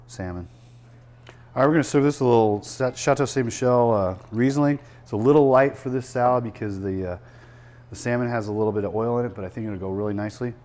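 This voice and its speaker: A man's voice: on the low side, a little hiss or hum. A man in his sixties, a chef hosting a cooking show, talking casually and explaining each step as he prepares a dish in a restaurant dining room. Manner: relaxed, chatty, explains as he works.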